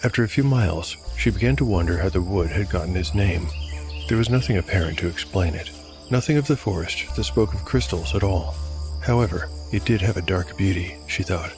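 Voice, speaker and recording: A man with a low voice delivers scripted narration as the host of a fantasy audio drama. Music plays clearly behind him, along with chirping insects. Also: fairly quick.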